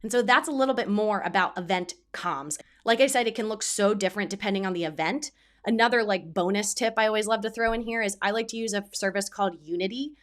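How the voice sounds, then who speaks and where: A woman hosts a casual explainer video as an experienced event producer. Her delivery is conversational and practical, walking through options and slipping in little spoken examples as she goes. Her voice sits at a medium pitch for a woman. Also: fast talker. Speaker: event producer giving advice as video host. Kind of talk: casual explainer talk to camera.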